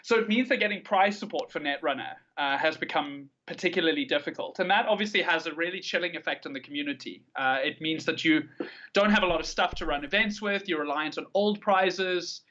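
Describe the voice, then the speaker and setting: A man's voice: on the high side, a little fast, sharp tone, dull audio. A South African man giving long, articulate, enthusiastic answers as a guest in a conversational interview, with a South African accent. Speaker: podcast guest, card game tournament player.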